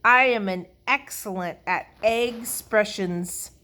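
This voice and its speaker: A woman's voice: mid-range voice, slow pace, pushed and bright, pitched above the performer's own voice. A woman acts a small girl in a children's story who is very sure of herself. The voice is lifted and childlike, stressing words with cocky emphasis. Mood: dismissive. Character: cocky, amused, overconfident little girl.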